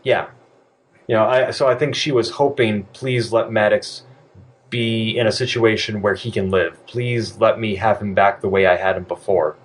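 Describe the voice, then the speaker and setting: A man's voice: on the low side, fairly even intonation, moderate pace. Wry man co-hosting a podcast. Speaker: podcast co-host. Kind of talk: casual chat.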